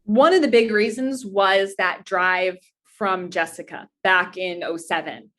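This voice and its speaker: A woman's voice: mid-range voice, measured pace, fairly bright tone. A woman in her twenties talking earnestly and at length as an interview guest, in a conversational, anecdotal style. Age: 20s. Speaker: interview guest, pageant titleholder.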